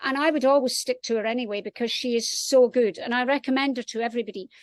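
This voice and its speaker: Higher-voiced Scottish woman author, chatting. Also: quick pace, dry recording. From Scotland. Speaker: guest author. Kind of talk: casual panel talk. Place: outdoors.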